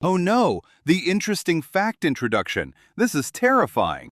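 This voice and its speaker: Lively, joking British man host. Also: a little high, normal speed, no room sound. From London. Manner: dry, playful storytelling with lively jokes. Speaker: podcast co-host. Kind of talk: scripted English-learning podcast chat.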